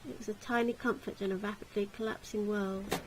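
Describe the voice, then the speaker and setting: A woman's voice: medium pitch, little pitch movement, a little fast, a little hiss or hum, low-quality recording. A woman reading a gothic visual novel aloud as narrator, in a dramatic storytelling style.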